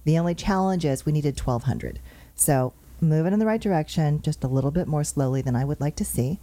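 Woman, show host, low pitch, mellow tone, brisk and upbeat, reels off numbers, studio. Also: expressive, dry recording. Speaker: show host and real estate agent. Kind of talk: chatty show monologue on market figures.

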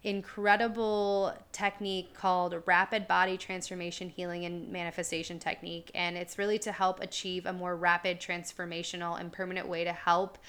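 A woman's voice: on the low side, level tone, fairly quick, few pauses, a bit bright, smooth. A woman hosting a podcast interview, talking with enthusiasm and a lot of filler words. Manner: enthusiastic, lots of filler "like" and "really". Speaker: podcast host.

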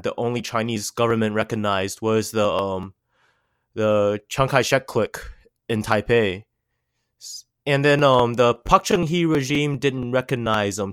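Young American man as interview guest, lowish voice, dry close sound, laid-back with lots of fillers. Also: clear, resonant tone, no room sound. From United States. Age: 20s. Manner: relaxed, heavy use of "like" and "um". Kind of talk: casual interview.

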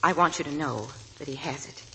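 An actress playing a genteel, blunt, anxiously devoted middle-aged mother, gracious and deliberate, in a 1940s radio drama. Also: low voice, sharp tone. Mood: solemn, fervent. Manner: gracious, deliberate, hesitant when uneasy. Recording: noisy vintage 1940s radio broadcast.

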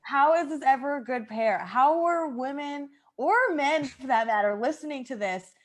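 A woman's voice: high-pitched, moderate pace. A woman in casual comedic chat as a podcast cast member, slangy and exclamatory.

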